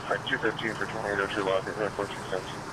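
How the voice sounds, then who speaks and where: Low-voiced man dispatching over noisy police radio. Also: flat delivery, very fast. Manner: terse, procedural, spells with phonetic letters. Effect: police scanner radio. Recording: police scanner audio, loud buzzing ambient noise. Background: bees buzzing at outdoor hive.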